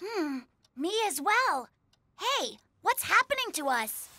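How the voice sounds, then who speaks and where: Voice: very high-pitched, very animated, slow, sharp tone, dry recording, full character voice. An actor voicing a friendly, easily spooked young brown bear in a children's cartoon, with a wry, exclamatory delivery. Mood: puzzled. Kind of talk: animated children's cartoon acting.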